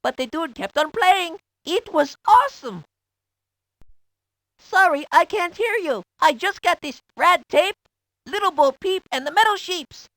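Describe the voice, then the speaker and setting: A woman voicing a laid-back, slangy, excitable boy who loves rock and roll, in a cartoonish video game. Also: extremely high pitch, unhurried, no echo, heavily acted. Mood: cool, enthusiastic. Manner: slangy dude-speak, excitable.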